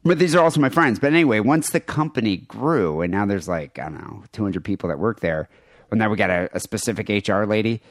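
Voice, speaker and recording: A man with a loud, piercing, nasal voice, arguing and rambling in a casual podcast chat. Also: on the low side, lively intonation, fast talker, no echo, studio quality. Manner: argumentative, rambling with many restarts. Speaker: podcast co-host.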